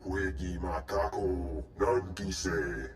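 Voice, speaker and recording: A man voicing a huge slug-like alien businessman in a video game, with a booming, blustering delivery in an invented alien tongue. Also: extremely slow, a little hiss or hum. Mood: blustering, imposing.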